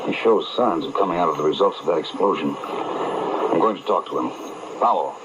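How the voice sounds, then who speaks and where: An actor playing a self-assured gentleman detective in a 1940s radio crime drama, with polished, precise and composed delivery. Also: deep voice, normal speed, natural voice. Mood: composed, explaining. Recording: noisy old radio broadcast over AM.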